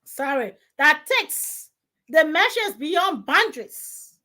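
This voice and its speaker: High-voiced woman host with a cold. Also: sing-song, sharp tone. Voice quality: rough, strained voice. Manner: halting, with many restarts and fillers. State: has a cold. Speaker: radio host. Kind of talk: live radio talk show. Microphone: studio mic.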